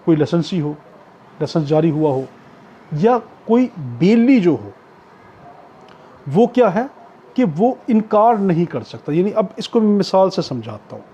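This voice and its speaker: Male lecturer, Pakistani accent, high mellow voice, explanatory and repetitive, recorded on dull lo-fi audio. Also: a little hiss or hum. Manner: explanatory, repetitive, often checking with "okay". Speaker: law lecturer. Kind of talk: exam-prep law lecture with worked examples.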